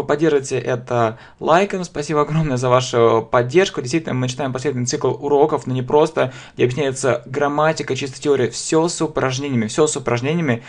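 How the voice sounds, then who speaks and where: A man giving a beginner English grammar lesson in a video, often pausing on fillers and saying English examples slowly and with stress. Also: medium pitch. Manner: slow, emphatic English examples with many "a" fillers. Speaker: English teacher.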